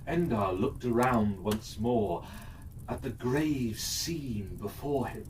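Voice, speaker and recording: A man narrating a scripted children's fairytale as a storyteller, expressive and deliberate. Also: mid-range voice. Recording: distant mic with faint background noise.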